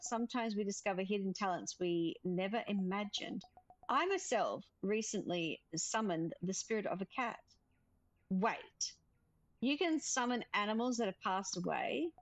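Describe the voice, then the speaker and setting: Woman reading as a gentle young witch. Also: mid-range voice, lively intonation, measured pace, no room sound, soft background music, poor audio. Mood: reassuring. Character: gentle young witch who talks to spirits, caring. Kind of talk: visual novel character read aloud.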